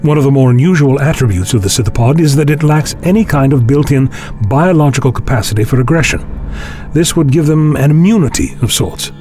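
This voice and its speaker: A man playing a measured, learned time-traveller. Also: medium pitch, expressive, a little mellow, faint music behind, natural voice. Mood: calm, explanatory. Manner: measured, deliberate, formal phrasing. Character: learned, calmly authoritative male time-travelling scientist. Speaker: lead actor in a fan audio drama. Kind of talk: scripted science fiction audio drama acting.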